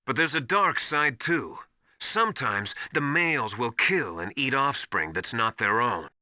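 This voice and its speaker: A man's voice: mid-range voice, animated, measured pace, pushed and bright, no room sound, studio quality. An actor playing a seasoned survival instructor in a video game, briefing his trainee in an instructive, encouraging, matter-of-fact manner.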